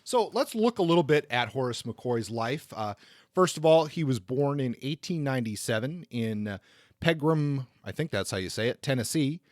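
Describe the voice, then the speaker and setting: Male podcast host, informal biographical narrator, conversational and halting, recorded dry. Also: mid-range voice, animated, no echo.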